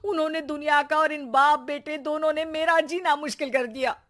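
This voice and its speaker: Woman acting a shrill, exasperated scolding cartoon mother. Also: steady tone, moderate pace. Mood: furious, lamenting. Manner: sarcastic, loud nagging with dramatic exclamations. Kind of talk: animated children's comedy story.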